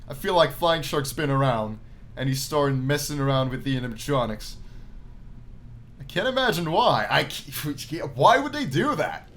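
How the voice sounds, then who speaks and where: A man's voice: a little high, very animated, moderate pace, fairly bright tone. A man giving jokey playthrough commentary as he reads a visual novel aloud, often repeating phrases for emphasis. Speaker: gaming video host.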